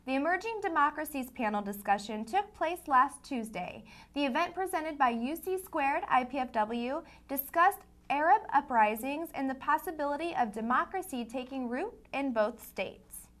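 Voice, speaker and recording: Young female student anchor, high pitch, scripted newsreading. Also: expressive, moderate pace. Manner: bright, read-aloud anchor cadence. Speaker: news anchor. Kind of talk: scripted news reading.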